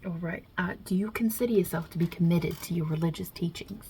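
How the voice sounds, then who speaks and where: A woman's voice: on the low side, brisk, warm tone, slight background noise, natural voice. A woman playing a non-binary Italian mob boss God with a put-on New York Italian-American accent, wry and casual with muttered asides, in an ASMR roleplay.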